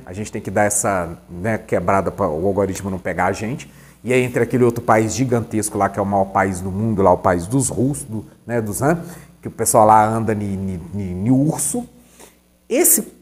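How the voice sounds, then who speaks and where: A man's voice: lowish voice, a little mellow. A man giving a recorded online lesson, chatty and conversational, asking questions and answering them himself. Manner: conversational, asks and answers his own questions. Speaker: teacher.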